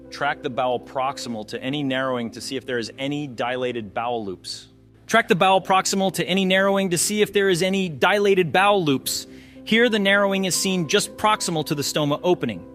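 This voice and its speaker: A man's voice: mid-range voice, a bit bright. A man narrating an explanatory case walkthrough as a radiology instructor, posing questions to the viewer as he teaches. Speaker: radiology instructor presenting cases.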